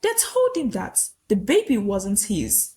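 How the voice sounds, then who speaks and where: A woman's voice: medium pitch, wildly swooping pitch, slow pace, a little mellow, a little hiss or hum. A woman telling a dramatic folktale from a script, with a solemn, suspenseful delivery. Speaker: storyteller.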